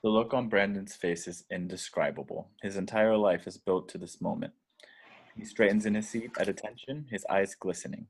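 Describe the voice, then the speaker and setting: A man with a fairly low voice reads screenplay action lines aloud. The delivery is scripted narration, setting scenes and describing movements for a table read. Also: moderate pace, slightly rough. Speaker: reader of stage directions.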